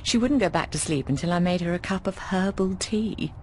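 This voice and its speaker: An actress voicing a breezy, affectionate, bawdy landlady in a video game, chatting in an expressive, teasing way. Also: fairly low pitch, a little fast, a little hiss or hum, lightly acted. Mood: affectionate, concerned. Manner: expressive, teasing, calls people darling. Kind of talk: conversational video game voice acting.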